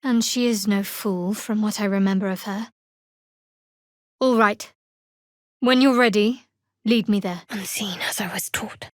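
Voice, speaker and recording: A woman's voice: medium pitch, measured pace, pauses often, dry recording, lightly acted. A woman playing a guarded, sardonic half-elf cleric in a fantasy video game, with a dry delivery. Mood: hushed, focused.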